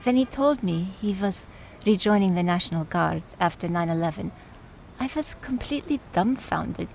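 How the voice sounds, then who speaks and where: A woman's voice: fairly low pitch, animated, moderate pace, gravelly, darkish tone, slight background noise. A woman playing a fond, opinionated, wry older aunt in mourning, in radio play dialogue. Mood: reminiscing, proud.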